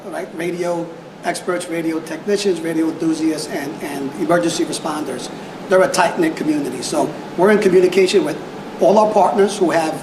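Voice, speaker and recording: Halting male official in an echoey room. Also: on the high side. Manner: hesitant, repeats words while searching for phrasing. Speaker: police technology official answering press questions. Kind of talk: press conference answers. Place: indoor press conference room. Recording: echoey room with clear background noise.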